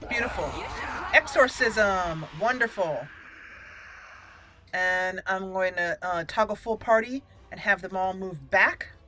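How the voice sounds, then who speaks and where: A woman's voice: mid-range voice, slow, over music. A woman giving casual, chatty video game commentary, talking through each step as she plays. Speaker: video game let's play host.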